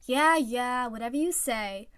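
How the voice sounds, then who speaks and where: A woman's voice: on the high side, a little slow, a bit bright, clear, resonant tone. A woman voicing a teasing, smirking teenage boy teammate, sly and mock-polite, in a story reading. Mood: dismissive.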